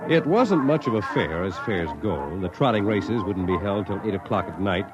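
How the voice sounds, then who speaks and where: A man narrating as a 1950s radio announcer, solemn and deliberate in his dramatic delivery. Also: deep voice, lively intonation. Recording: dull vintage radio recording with music.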